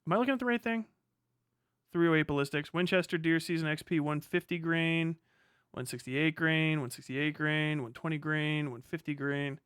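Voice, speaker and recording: A man livestreaming casually, muttering figures as he reads and thinking aloud in halting bursts with plenty of swearing. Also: fairly high pitch, a bit bright, clean tone, no room sound, clean studio sound. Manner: swears freely. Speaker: live streamer.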